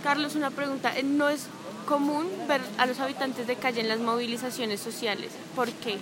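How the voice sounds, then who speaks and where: A woman's voice: high-pitched, flat delivery, normal speed. A woman, interviewing someone outdoors and rephrasing her questions to probe for answers. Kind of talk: street interview. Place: city park outdoors. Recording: outdoor crowd noise. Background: street crowd.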